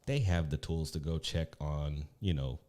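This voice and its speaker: A man with a naturally deep voice, co-hosting a live podcast and chatting loosely about podcast tips. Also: unhurried, dark tone. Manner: loose, chatty, repeats "again" and "right". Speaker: podcast co-host.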